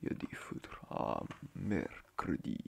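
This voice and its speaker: A man playing a fussy, flustered schoolteacher in a soft-spoken ASMR roleplay, hesitant, breathy and stiffly formal, often muttering near a whisper. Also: slow pace, natural voice. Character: fussy, flustered male schoolteacher on first day.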